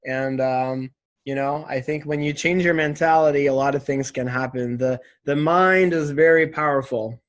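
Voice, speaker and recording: A man's voice: on the high side, fairly even intonation, moderate pace. A man in his twenties talking casually and reflectively as an interview guest, earnest, often saying "like" and "right?". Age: 20s.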